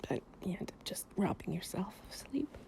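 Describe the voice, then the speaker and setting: A woman chatting casually and intimately to the listener in an improvised relaxation roleplay, softly and breathily with drops into whispering, in a playful, sleepy, confiding way. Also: medium pitch, very animated, moderate pace. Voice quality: soft, breathy, often dropping to a whisper. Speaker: audio roleplay performer playing a loving companion.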